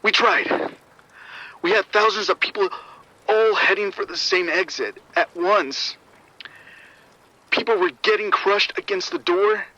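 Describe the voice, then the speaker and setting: An actor playing a shaken security officer giving urgent, grim reports over radio in a horror audio drama. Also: male voice, high voice, measured pace, long pauses, sharp tone, no echo, full character voice. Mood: overwhelmed. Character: shaken male arena security officer reporting a violent outbreak. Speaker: security supervisor. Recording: muffled, band-limited radio transmission.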